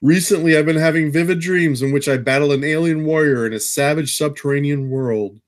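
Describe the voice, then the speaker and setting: A man's voice: on the high side, fairly quick, natural voice. A man playing a troubled, haunted castaway, giving an earnest and brooding audio drama monologue. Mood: brooding, wondering. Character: troubled male castaway haunted by vivid dreams.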